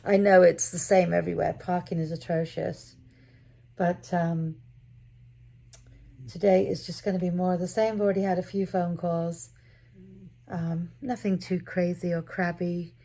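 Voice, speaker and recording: Middle-aged woman, lowish pitch, very gravelly fry, raspy and tired, rambling casual vlog chat. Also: level tone, normal speed, long pauses, mellow. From United Kingdom. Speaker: vlogger.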